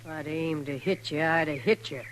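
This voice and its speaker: A woman's voice: low-pitched, moderate pace, close to an everyday voice. An actress playing a hostile, hardened frontier homesteader woman, curt and defiant, in an old radio western. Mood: hard, hostile. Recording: 1950s radio recording, faint noise.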